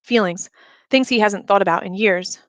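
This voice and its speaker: A woman reads a novel aloud as a scripted narration. Her voice sits at a middle pitch, and the recording is dry and close, with very little room in it. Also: normal speed, no room sound. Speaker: reader of an audiobook.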